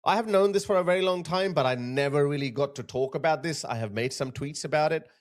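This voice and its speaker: A man from Pakistan giving emphatic, sarcastic opinion commentary. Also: quick pace. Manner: emphatic, sarcastic, big pitch swings.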